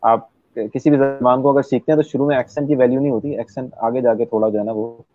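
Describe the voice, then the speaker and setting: A young man, explaining his English course in a relaxed interview, with many "uh" pauses. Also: mid-range voice, no room sound. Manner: explains patiently, with frequent "uh" pauses and fillers. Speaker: English teacher, interview guest. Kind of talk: casual explanatory interview talk. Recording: dry, tinny phone-like line.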